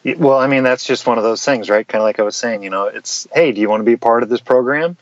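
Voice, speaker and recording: A man's voice: medium pitch, fast talker, dry recording. An American man answering interview questions in an easygoing way with many fillers. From United States. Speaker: podcast guest, former player. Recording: dull, dry sound.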